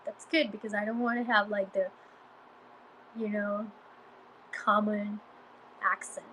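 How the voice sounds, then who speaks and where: A woman's voice: medium pitch, flat delivery, unhurried, a bit bright, a little hiss or hum, rough recording. A woman from Guatemala with a mild Guatemalan Spanish accent, chatting casually with viewers on a live stream, hesitant with many fillers and restarts. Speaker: Spanish teacher livestreaming.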